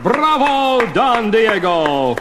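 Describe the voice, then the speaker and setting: An actor playing a flippant, taunting young superhero in a seventies audio drama. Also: male voice, extremely high pitch, big pitch swings, very slow, exaggerated voice. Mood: mocking. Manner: mocking, flippant. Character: taunting, wisecracking young male masked superhero. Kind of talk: 1970s superhero record audio drama acting. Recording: reverberant hall sound with faint hiss.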